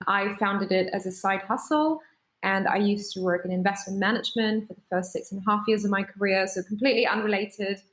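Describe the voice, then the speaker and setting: A woman from Singapore, a startup founder, telling her story in a measured, articulate way in a podcast interview. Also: mid-range voice, reverberant.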